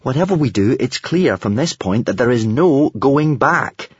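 A man's voice: medium pitch, expressive, moderate pace, husky, no echo, muffled recording, voice pushed up. A man voicing an earnest, level-headed young boy hero in a storybook, reasoning with determination. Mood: earnest resolve.

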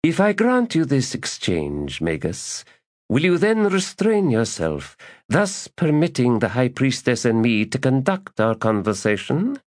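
A man's voice: mid-range voice, wildly swooping pitch, a little slow, a little mellow, dry recording, studio quality, voice pushed up. A male narrator voicing an elegant, disdainful noblewoman with a languid, weary manner, in an audiobook. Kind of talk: audiobook character voice.

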